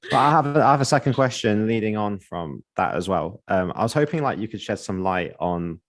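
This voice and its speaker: A man's voice: on the low side, expressive, a little fast. A man hosting an interview, asking long, curious questions. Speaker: host. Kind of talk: casual interview chat.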